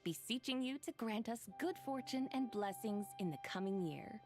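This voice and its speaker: A woman's voice: a little high, lively intonation, lightly acted. Stately, aloof immortal maiden voiced by a woman. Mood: reverent, solemn. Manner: formal, stately, measured. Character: proud, serene female immortal moon-maiden, aloof toward mortals. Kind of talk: scripted video game dialogue and poem recitation. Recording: clean studio voice over game music.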